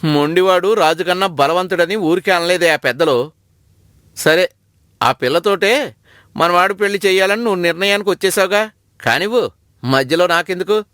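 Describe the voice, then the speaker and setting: Grumbling old husband played by a man, slightly high voice, dry studio sound, doubtful and resigned, for a family cartoon. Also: normal speed, long pauses, a bit bright, dry recording. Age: older.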